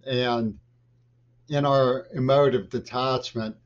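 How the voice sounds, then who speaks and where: Older man ranting slowly at a webcam. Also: mid-range voice, fairly even intonation. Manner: agitated, lurching between loud bursts and mutters. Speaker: vlogger. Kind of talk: rambling political monologue to camera. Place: small home office with bookshelves, indoors. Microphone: webcam at desk. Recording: dull lo-fi webcam audio.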